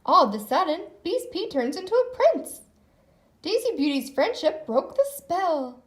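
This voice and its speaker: A woman reading a children's story aloud in an expressive narrating style. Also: very high voice, unhurried. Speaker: storybook reader.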